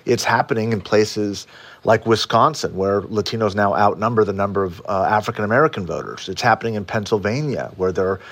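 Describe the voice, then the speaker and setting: A man's voice: on the low side, fairly quick, a bit bright. A man commenting on politics on a radio panel, emphatic, stumbling over restarts as he starts his points.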